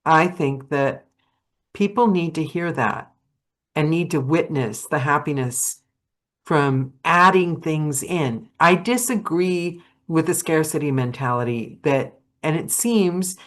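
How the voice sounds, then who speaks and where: Woman host on a video call, really low voice, chatty, kinda searching for words as she goes. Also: takes it slowly. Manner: chatty, searching, thinks aloud. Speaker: podcast host and audiobook narrator. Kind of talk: casual conversational interview.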